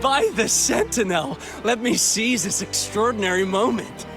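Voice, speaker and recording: An actor playing a flamboyant, excitable male filmmaker in a video game, speaking with florid, courteous grandeur. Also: high voice, unhurried, over music. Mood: effusive, awed. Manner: florid, theatrical, effusive. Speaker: film studio head, non-player character. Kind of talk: theatrical game voice acting.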